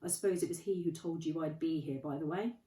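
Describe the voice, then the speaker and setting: Calm old wizard, read by a woman, gentle and unhurried, mellow and lively, heard from a distance. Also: low voice, lightly acted. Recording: echoey room, distant mic.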